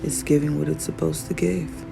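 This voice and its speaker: A raspy woman vlogging casually about her own work, admiring it out loud and laughing often. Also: sits low, flat delivery, normal speed. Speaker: fashion designer vlogger. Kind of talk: casual show-and-tell vlog. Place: workshop in Arizona. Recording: music playing behind the voice.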